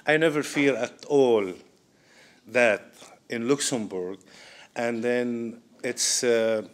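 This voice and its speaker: A man in his thirties with a Syrian Arabic accent, giving an informal talk to an audience in halting English full of pauses and fillers, recorded by a distant camera in an event room. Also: mid-range voice. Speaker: guest speaker, restaurant owner. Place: medium indoor event room with diners. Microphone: far camera, no mic.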